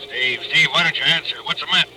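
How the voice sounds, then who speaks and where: An actor playing a gruff, worried man in charge of a diving crew, in 1940s radio drama style. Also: fairly high pitch, quick pace, bright, forceful tone, faint music behind. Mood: urgent concern. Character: gruff, worried salvage boss directing a diver. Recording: noisy vintage 1940s radio recording.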